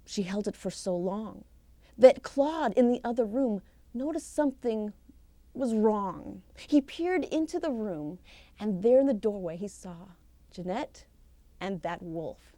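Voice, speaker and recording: A woman telling a folktale live as a professional storyteller, expressive and theatrical, with deliberate pauses and emphasis. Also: mid-range voice, no echo. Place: live storytelling session at a conference.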